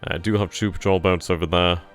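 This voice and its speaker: A man's voice: deep voice, steady tone, fast, soft background music. A man hosting a strategy game stream, thinking aloud over his moves and casually answering chat questions. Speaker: gaming channel host. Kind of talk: live strategy game commentary.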